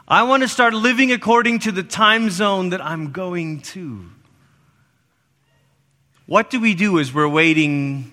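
A middle-aged man from Malaysia preaching a sermon in a church, swinging between loud emphatic lines and soft hushed ones. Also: fairly high pitch. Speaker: pastor. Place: church sanctuary. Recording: long reverb of a church hall.

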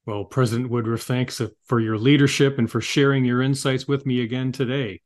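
A man's voice: medium pitch, fairly even intonation, fairly quick. Man hosting a formal, prepared interview. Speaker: interview host.

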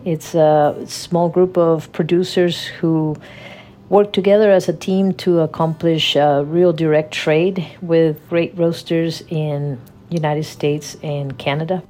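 A woman's voice: low voice, steady tone, slow, dark tone, faint music behind. A woman, a coffee farmer telling her story in an interview, reflective and laughing at herself. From Costa Rica.

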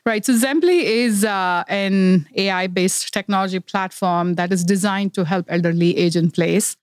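A middle-aged woman who grew up in India speaks earnestly as a founder in a podcast interview, with many fillers as she explains. Her voice is smooth and resonant. The sound is dry and close. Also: medium pitch, normal speed, no room sound. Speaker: startup founder.

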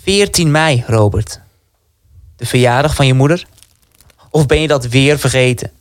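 A man's voice: medium pitch, normal speed, clean tone, no room sound, natural voice. An actor playing a young man in a wartime radio drama, a sceptical and dutiful brother who is dry and reluctant. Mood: reproachful, wry.

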